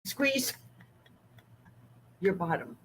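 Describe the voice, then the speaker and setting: A woman's voice: medium pitch, animated, very slow, a little hiss or hum. Chatty, giggly makeup artist woman. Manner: chatty, giggly, gently bossy. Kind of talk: casual makeup demonstration.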